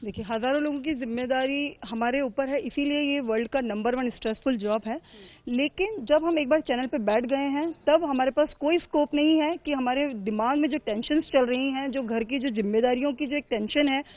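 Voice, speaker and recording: A woman with a high, bright voice speaks earnestly about her work, slipping into English phrases now and then. The sound is squeezed thin, as if heard over a phone line. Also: moderate pace. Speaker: air traffic control manager. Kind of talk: news interview answers. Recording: narrow phone-like audio.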